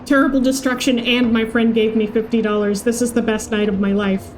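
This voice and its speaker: A woman's voice: on the high side, little pitch movement, a little fast, background noise. A woman streaming a game with casual, playful, sweary chat, often talking to herself. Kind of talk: casual livestream gaming chat.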